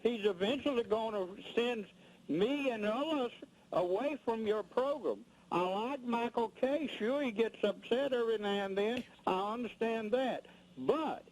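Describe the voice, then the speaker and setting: A man's voice: extremely high pitch, low-quality recording. A combative man, calling a radio show to rant angrily and repeating himself as he scolds. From rural United States. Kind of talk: angry phone-in rant.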